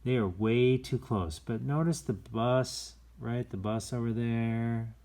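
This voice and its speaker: A man hosting a children's story time, reading aloud in a playful, expressive way with chatty, folksy asides and shifts between hushed and exclaimed delivery. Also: medium pitch, slow. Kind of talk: animated read-aloud with casual asides to young viewers.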